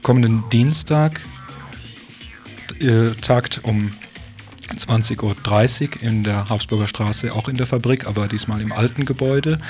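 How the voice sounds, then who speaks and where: A man presenting on live radio in an informal, halting way, doing interviews and reading out event notices. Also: medium pitch, a little mellow, faint music behind. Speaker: radio host. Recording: 1980s local radio broadcast.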